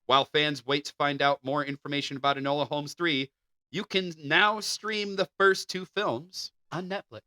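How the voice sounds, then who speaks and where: A man's voice: a little high, fairly even intonation, normal speed, pushed and bright, no echo. A man co-hosting a podcast, moving between reading entertainment news and casual banter. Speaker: podcast co-host.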